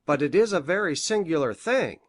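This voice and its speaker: A woman's voice: low-pitched, animated, a little fast, no echo, clean studio sound, voice pushed down. A woman voicing a sober, matter-of-fact male country doctor in an audiobook story.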